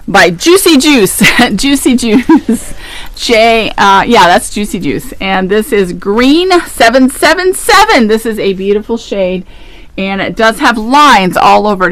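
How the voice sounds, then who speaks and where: A woman's voice: mid-range voice, fairly bright tone. A woman co-hosting a casual fabric show, chatting about prints, colors and prices and laughing easily. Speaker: fabric shop co-host. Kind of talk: casual live product showcase.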